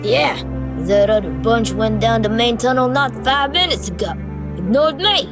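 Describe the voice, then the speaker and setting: Cranky folksy cartoon pony played by a woman, pushed louder and higher, gruff complaining, music behind. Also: lively intonation, normal speed. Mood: grumpy. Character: ornery, grumbling, folksy old-timer pony. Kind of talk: amateur comic dub voice acting.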